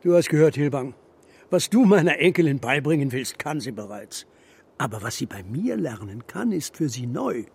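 An actor playing a gruff, stubborn old shepherd grandfather who speaks tersely and bluntly in a children's radio play. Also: medium pitch, sing-song, moderate pace, a little mellow, heavily acted. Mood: defiant, dry. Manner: terse, blunt, answers with counter-questions.

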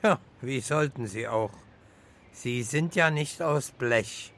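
An actor playing an anxious, wrecked family car that talks haltingly and repeats its words, in a children's radio play. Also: medium pitch, fairly bright tone. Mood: dazed, worried. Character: wrecked talking family car, anxious, urging, machine character.

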